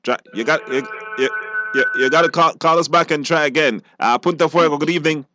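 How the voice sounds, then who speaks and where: A man's voice: fairly high pitch, fairly quick, background noise. A man hosting a live call-in radio show and talking with callers. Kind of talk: live radio phone-in talk.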